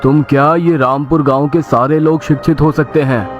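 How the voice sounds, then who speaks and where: Smooth-talking cartoon merchant played by a man, music behind. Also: fairly high pitch, fairly even intonation, normal speed, muffled recording, natural voice. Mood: smug, persuasive. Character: smug, cunning male village merchant selling false promises. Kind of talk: Hindi cartoon moral story dialogue. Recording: loud music under the voice.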